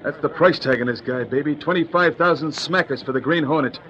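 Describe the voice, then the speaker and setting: A man's voice: fairly high pitch, fairly quick, fairly bright tone, natural voice. An actor playing a greedy, cocky tough guy with slangy, brusque speech, in 1940s radio style. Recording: dull, noisy old radio recording.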